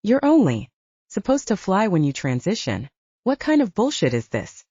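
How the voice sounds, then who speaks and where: A woman's voice: mid-range voice, huge pitch swings, moderate pace, dark tone, breathy edge, no room sound, dull audio. A woman voicing an angry, heavyset male werewolf fighter in an audiobook, aggressive and foul-mouthed in manner. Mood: angry, menacing. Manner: aggressive, profane, challenging.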